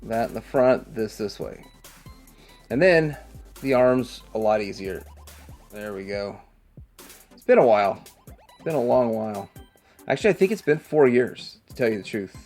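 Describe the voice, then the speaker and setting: A man's voice: lowish voice, lively intonation, normal speed, pauses often, darkish tone, faint music behind. A man hosting a casual hands-on toy review, rambling and thinking aloud as he goes. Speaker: toy review channel host.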